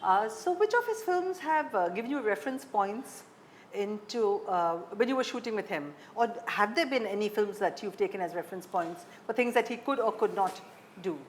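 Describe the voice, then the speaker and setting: A woman's voice: medium pitch, animated, normal speed. A woman, an interviewer putting questions in a conversational way. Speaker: interviewer, journalist. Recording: faint background noise.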